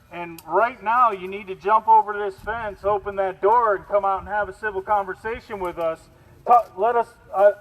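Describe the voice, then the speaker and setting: A man's voice: high voice, a bit bright. A man giving an angry, defiant protest speech through a bullhorn, speaking outdoors. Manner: defiant, confrontational. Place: outdoors in rain at a street protest. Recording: noisy outdoor street recording, bullhorn.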